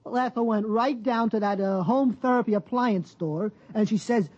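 An actor playing a simple, good-natured working-class bus driver, garrulous, folksy and slangy, in 1950s radio drama style. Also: male voice, high voice, moderate pace, darkish tone, lo-fi sound. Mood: chatty.

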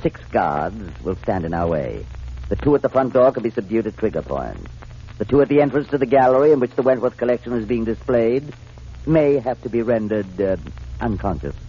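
An actor in a 1940s radio drama playing a cold-blooded crime boss with fussy, formal, hesitant diction. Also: male voice, on the low side, very animated, a little fast, slightly rough, heavily acted. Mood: coldly commanding. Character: pompous, fussy, cold-blooded criminal mastermind. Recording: muffled, noisy old 1940s radio recording.

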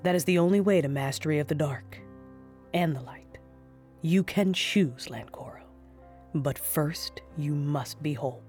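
Female actor for grave maternal mentor; solemn, guiding monologue in audio-drama style over a soft music bed. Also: low voice, unhurried. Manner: solemn, instructive. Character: wise, grave mother mentor teaching the dark and light. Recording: music under the voice.

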